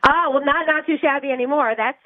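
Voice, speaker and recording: A woman's voice: high-pitched, fast talker, no room sound. Chatty woman on a tinny phone line. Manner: chatty, laughs often, restarts sentences. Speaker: guest, business owner. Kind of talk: phone-in interview.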